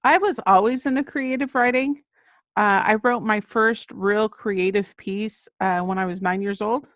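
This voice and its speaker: A woman's voice: medium pitch, a little slow, fairly bright tone, no echo, dull audio. A middle-aged woman, an author appearing as a guest, telling anecdotes in a casual interview style. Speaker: children's book author, podcast guest.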